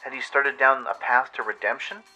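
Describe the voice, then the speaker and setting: A man's voice: medium pitch, steady tone, normal speed, sharp tone, low-quality recording. A man narrating a scripted adventure story dramatically, as in a radio play. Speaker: narrator and radio show host.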